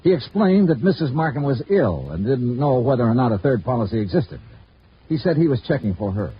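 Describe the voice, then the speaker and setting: A businesslike detective from a fifties radio drama, acted by a man, pressing and matter-of-fact. His pitch moves freely through mid-range, clipped and dry. The recording is old and muffled, with faint noise. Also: very animated, brisk, natural voice. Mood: probing, businesslike. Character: dry, probing male insurance investigator narrating his case.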